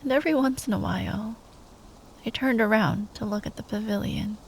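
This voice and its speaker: A woman playing a proud, grieving royal knight in a fantasy ASMR roleplay, speaking softly and haltingly, often in a breathy whisper, tender with a wry edge. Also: mid-range voice, slight background noise, close to an everyday voice, low-quality recording. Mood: wistful.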